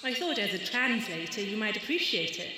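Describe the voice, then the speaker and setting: A woman's voice: mid-range voice, animated, fairly quick, bright, forceful tone. A woman talking as a poet in a thoughtful literary conversation, recorded in an echoey, reverberant hall.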